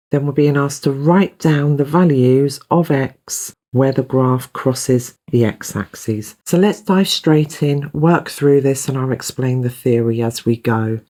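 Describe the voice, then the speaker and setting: A woman's voice: low-pitched, dark tone. A woman from the UK with a British accent, patiently explaining maths step by step as a teacher. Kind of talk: step-by-step tutorial narration.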